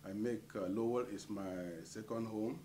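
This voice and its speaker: Low-voiced man, halting with fillers. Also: steady tone, warm tone, slight background noise. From Cameroon. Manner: hesitant, many filler pauses. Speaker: community association treasurer. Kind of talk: interview answers.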